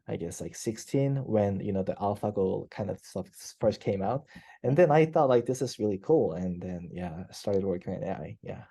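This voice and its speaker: Low-voiced young Korean man on a call. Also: mellow. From South Korea. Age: 20s. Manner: hesitant, many fillers and restarts, laughs easily. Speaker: PhD student guest. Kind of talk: casual interview chat. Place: office, indoors. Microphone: headset on video call.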